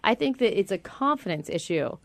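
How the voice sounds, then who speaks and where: A woman's voice: medium pitch, very animated, fairly quick, gravelly, no echo, studio quality. A woman co-hosting a casual radio show, blunt and incredulous in her banter.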